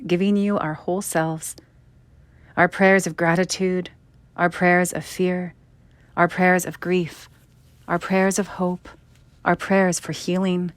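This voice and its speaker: A woman minister leading a scripted church prayer in a measured, reverent way, phrase by phrase. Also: on the low side, dry recording.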